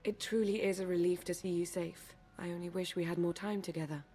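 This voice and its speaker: An actress playing an earnest, composed agent in a video game, speaking in a formal, measured way. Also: female voice, fairly low pitch, steady tone, warm tone, a little hiss or hum, close to an everyday voice. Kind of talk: cinematic video game acting.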